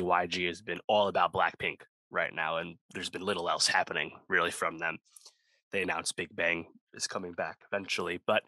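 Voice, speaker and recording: A man with a low, bright voice talks through a music review in a loose, rambling way, full of fillers and restarts. He sounds close to the mic in a dry, dead room. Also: no echo, poor audio. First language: English speaker, not Korean. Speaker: podcast co-host. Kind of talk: casual music review chat.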